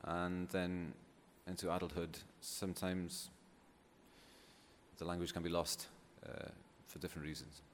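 A man's voice: low voice, little pitch movement, measured pace. A man with a Welsh accent and Welsh as his first language, giving an informal talk as a poet in a hesitant, thoughtful way. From Wales. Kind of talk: informal unscripted public talk. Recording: echoey hall, clear background noise.

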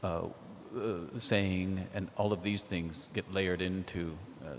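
A man talking as a literary translator on a panel, thoughtful and hesitant, with many pauses and ums. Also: sits low, level tone, slight background noise. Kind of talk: panel discussion. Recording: echoey hall.

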